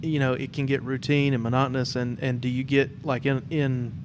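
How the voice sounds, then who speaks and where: A man's voice: mid-range voice, level tone, brisk, background noise. A man hosting a podcast in a casual, conversational interview style. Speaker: podcast host.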